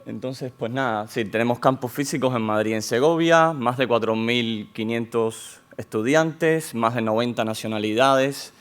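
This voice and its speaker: Male conference presenter, foreign accent, halting, average pitch. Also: clean tone. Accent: non-Spanish accent in Spanish. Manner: measured, with frequent hesitations and restarts. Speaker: conference speaker. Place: conference hall.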